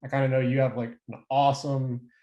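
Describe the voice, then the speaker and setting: A man's voice: mid-range voice, level tone, fairly quick, mellow, echoey room, lo-fi sound. A male podcast host introducing his guest in a casual, hype-building way with frequent fillers. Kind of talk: interview introduction.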